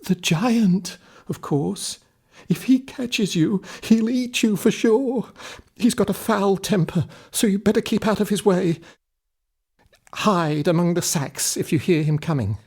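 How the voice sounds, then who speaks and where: Man putting on a high-pitched, wary little old woman. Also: a little slow, husky, exaggerated voice. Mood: cautioning. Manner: hushed, urgent warnings.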